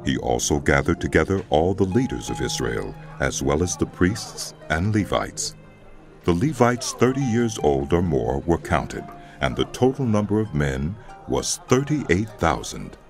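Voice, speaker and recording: A man with an extremely deep, creaky voice narrates scripture. His delivery is slow, measured and solemn, yet his pitch moves very widely. It is scripted audio drama narration with music behind it. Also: very animated.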